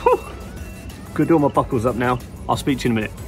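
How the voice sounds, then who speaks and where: A man's voice: medium pitch, fast talker, music in the background. A man, chatting casually to viewers as he drives his truck and loads it in a yard. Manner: chatty, playful, talks to viewers as he works. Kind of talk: casual vlog commentary while driving and loading. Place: truck cab and open loading yard.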